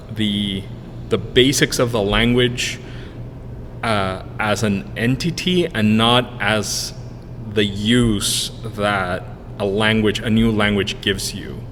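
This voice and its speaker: A man in his thirties with a fairly low voice talks in a loose, halting way, full of "uh" and restarts. Spanish is his first language. He is recorded in a reverberant room with a faint background hiss. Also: fairly bright tone. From Spanish-speaking country, moved to the US in 1999. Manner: rambling, many "uh" fillers and restarts. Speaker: podcast guest, brewery co-owner. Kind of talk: casual interview answers.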